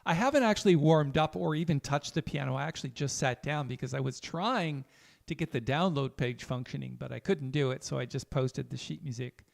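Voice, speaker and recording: A man with a raspy voice, chatting casually while teaching jazz piano on a livestream. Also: a little high. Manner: relaxed, chatty, addresses chat viewers.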